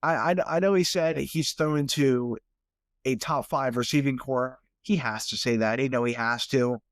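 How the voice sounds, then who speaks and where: Young man, stuffy nose, casual rambling sports talk, mid pitch, dry close sound. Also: normal speed, dry recording. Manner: casual, rambling, repeats words when restarting. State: stuffy from sinuses. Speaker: podcast host. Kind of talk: solo sports podcast talk.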